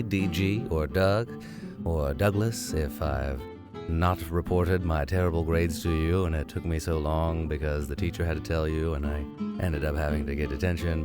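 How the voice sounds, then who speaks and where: A man hosting a reading of classic books, playful and sardonic, slipping between narration and offhand tangents. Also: sits low. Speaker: host and reader of a classics podcast. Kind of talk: audiobook reading with comic tangents.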